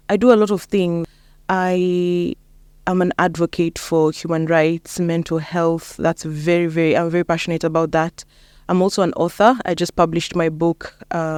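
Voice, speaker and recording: A woman's voice: lowish voice, darkish tone, smooth, no echo. A young woman with a Kenyan accent, chatting casually as an interview guest. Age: young adult. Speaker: interview guest, lawyer and mentor.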